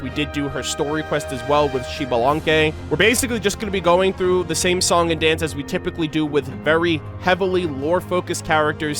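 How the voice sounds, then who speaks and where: Male lore streamer, slightly high voice, conversational and keen, music clearly audible underneath. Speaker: content creator hosting a game lore reaction. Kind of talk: casual streamer commentary with read-aloud game lore. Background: background music.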